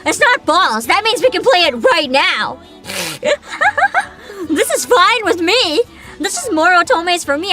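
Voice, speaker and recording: A young woman hosting a livestream, chatting casually with an excitable, giggly, informal manner. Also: very high-pitched. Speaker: livestream host, vtuber. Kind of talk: casual livestream chat and reactions. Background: background music.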